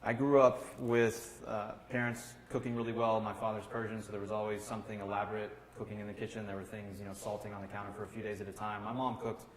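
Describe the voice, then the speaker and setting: A man's voice: fairly low pitch, level tone, quick pace, few pauses. A middle-aged American man from Kentucky, a chef talking casually and telling stories as a guest. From Kentucky, United States. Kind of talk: casual conversational storytelling. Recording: roomy, echoey room with faint noise.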